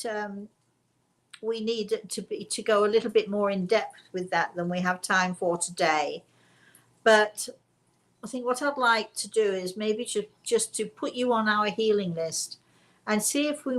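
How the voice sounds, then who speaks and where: A woman hosting a casual spiritual talk, speaking deliberately and repeating phrases for emphasis. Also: mid-range voice, a bit bright. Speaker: host and spiritual teacher.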